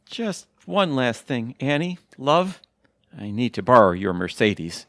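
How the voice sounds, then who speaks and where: A man's voice: mid-range voice, big pitch swings, takes it slowly, a bit bright, dry recording, studio quality, exaggerated voice. A man playing a hapless, sentimental husband in an audio theatre comedy, with an earnest, theatrical delivery. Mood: mournful, pleading.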